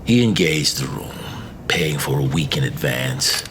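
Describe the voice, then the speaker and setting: A man narrating a classic macabre story as an audiobook, with measured, deliberate phrasing. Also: very deep voice, lively intonation, background noise. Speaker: story reader.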